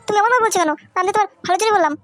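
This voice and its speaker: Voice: very high voice, rapid-fire, a bit bright. A performer voicing a nagging, practical village wife in a comic cartoon, scolding and lecturing over music. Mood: exasperated. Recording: music behind the voice.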